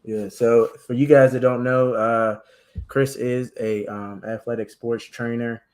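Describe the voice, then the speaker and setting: A man hosting a casual chat about sports and faith, talking in halting bursts with many fillers and restarts. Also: medium pitch, flat delivery, darkish tone. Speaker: podcast host.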